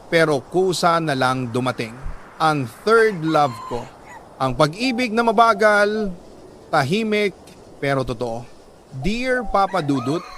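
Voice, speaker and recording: Male radio storyteller, sentimental dramatic read, unhurried with long pauses, slight background noise. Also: on the high side, a bit bright. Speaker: radio storyteller reading a listener's letter. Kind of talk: dramatic scripted narration of a love story.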